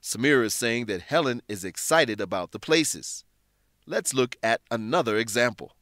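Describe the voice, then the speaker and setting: A male teacher narrates a scripted English lesson. His medium-pitched voice has very varied intonation, and he explains things in a clear, deliberate way. The recording is dry and free of room sound. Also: expressive, a bit bright, no room sound.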